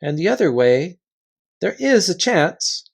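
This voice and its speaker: A gentle, earnest young girl, acted by a man reading a storybook. The voice is lifted slightly and soft-spoken, with a brave, resolute manner. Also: high-pitched, big pitch swings, a little slow, vocal fry, breathy edge, full character voice.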